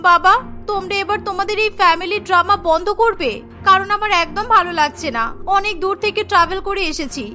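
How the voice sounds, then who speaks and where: A woman's voice: extremely high pitch, quick pace, sharp tone, soft background music. A woman voicing a spoiled, demanding teenage girl in a cartoon story, petulant, complaining and bossy. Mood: impatient. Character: spoiled, demanding teenage city girl, food-obsessed granddaughter. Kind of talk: cartoon character acting.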